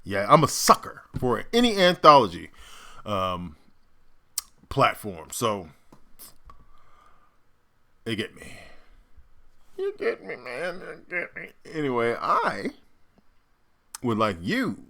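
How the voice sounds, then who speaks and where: Animated man chatting in reaction. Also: mid-range voice, slow pace, gravelly, pauses often. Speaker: reaction video host. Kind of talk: casual reaction commentary.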